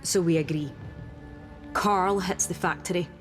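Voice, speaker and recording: An actress as a decisive female agent with a lowish voice. She is practical and plain-spoken in a war game, with faint music behind her. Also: steady tone, unhurried, natural voice. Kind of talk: dramatic game cutscene dialogue.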